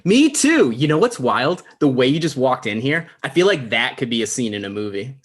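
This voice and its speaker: A man's voice: mid-range voice, very animated, moderate pace. A man playing an awkward, self-important online show host in a comedy table read, stammering a little. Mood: eager.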